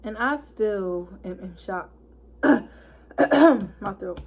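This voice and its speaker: A hoarse woman of twenty-one, chatting casually to camera and packing her talk with fillers. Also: mid-range voice, animated, extremely slow. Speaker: vlogger. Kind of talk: casual story time chat.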